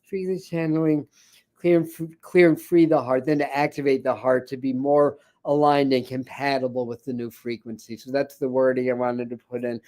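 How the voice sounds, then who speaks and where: A man with a scratchy, hoarse voice hosting a spiritual livestream, chatting casually with many fillers and restarts. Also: mid-range voice, flat delivery, moderate pace, darkish tone. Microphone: ring light setup, speaking to camera.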